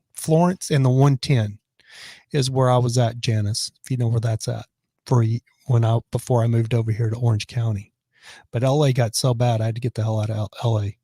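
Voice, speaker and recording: A man hosting a live stream from his office, reading viewer comments and rambling in casual chat, his voice raspy from choking on his dinner. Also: medium pitch, dark tone, no room sound, studio quality. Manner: rambling and chatty, many restarts.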